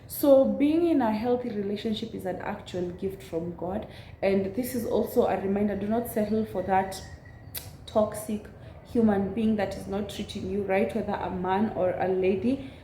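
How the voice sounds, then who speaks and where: Young woman vlogger, raspy from a cold, earnest and unhurried; mellow tone in a roomy space. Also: mid-range voice, slight background noise. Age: 20s. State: recovering from a cold. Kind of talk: casual chat to camera.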